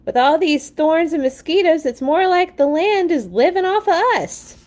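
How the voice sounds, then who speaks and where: A woman voicing an exasperated, indignant mother bear from a storybook, shouting sarcastic complaints. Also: extremely high pitch, animated, moderate pace, pitched above the performer's own voice. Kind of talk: storybook read-aloud character.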